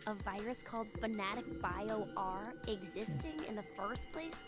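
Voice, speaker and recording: A woman's voice: medium pitch, animated, normal speed, lightly acted. A woman playing an earnest young woman in a dramatic video game, reasoning and pleading in a deadly situation. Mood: serious, reasoning. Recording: game music behind the voice.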